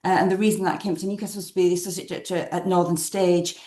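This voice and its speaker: Woman in an echoey room, lowish voice. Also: flat delivery, rapid-fire, mellow. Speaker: arts director presenting a project. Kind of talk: explaining creative work in an online talk. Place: indoor room on a video call. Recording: roomy, echoing online call.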